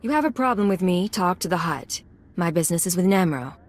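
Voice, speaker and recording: Video game secret agent, female actor: lowish voice, cool, clipped phrasing, businesslike, self-possessed. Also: lively intonation, a little fast, lightly acted. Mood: cold. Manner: curt, businesslike. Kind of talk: video game character acting.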